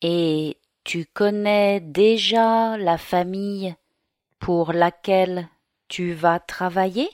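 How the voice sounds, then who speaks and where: A woman reading as a young Frenchman, slow and clear. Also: lowish voice, fairly even intonation, darkish tone, no echo, clean studio sound. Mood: friendly. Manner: slow, carefully articulated reading. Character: young Frenchman, law student, modest.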